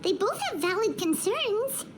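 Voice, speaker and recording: A woman voicing a tiny, chirpy, blunt fairy companion in a video game, with a squeaky childlike voice. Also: animated, a little slow, background noise. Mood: eager. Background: background music.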